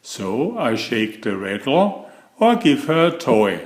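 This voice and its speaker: A man's voice: medium pitch, sing-song, slow pace, reverberant. A man voicing a sensitive young boy bear cub in a storybook, speaking earnestly and emphatically. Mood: proud, friendly.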